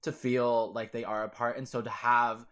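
A man's voice: mid-range voice, level tone, moderate pace, a bit bright. A man hosting a podcast, talking earnestly and casually with many fillers and restarts. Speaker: podcast host. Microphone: podcast mic.

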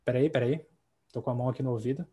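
A man hosting a podcast, talking in a relaxed, conversational way with frequent tag questions. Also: mid-range voice, racing, slightly rough. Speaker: podcast host. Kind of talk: casual podcast chat.

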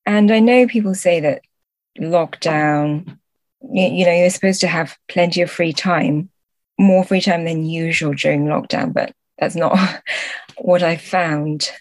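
A woman with a slightly low voice talks as a podcast guest in a casual interview. She speaks slowly and politely, in measured phrases. The sound is close and dry, with no room echo. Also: fairly even intonation.

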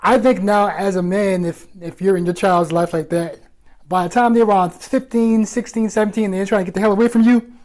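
A man's voice: high-pitched, fairly even intonation, fairly quick, a little mellow. A man in a casual group chat, giving his opinions in colloquial speech.

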